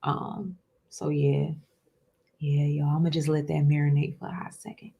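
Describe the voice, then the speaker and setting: A woman's voice: deep voice, flat delivery, moderate pace, vocal fry, mellow, slightly rough, muffled recording. Chatty woman in her twenties hosting. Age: 20s. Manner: chatty, repeats words, addresses audience as y'all, asks okay?. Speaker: host. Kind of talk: casual solo talk to viewers.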